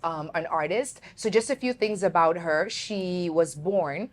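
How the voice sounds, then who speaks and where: A woman from Uganda hosting a casual interview, lively and laughing, calling her viewers family. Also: fairly low pitch, a little slow. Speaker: host.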